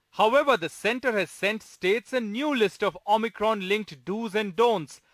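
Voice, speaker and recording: A man reads a scripted news report as a reporter. His voice sits high for a man. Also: pushed and bright.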